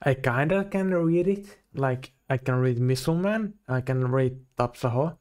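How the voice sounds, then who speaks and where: A man's voice: mid-range voice, animated, moderate pace, darkish tone. A drunk man with a Finnish accent, a streamer giving crude, joking reaction commentary. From Finland. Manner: crude, swearing, joking, laughing.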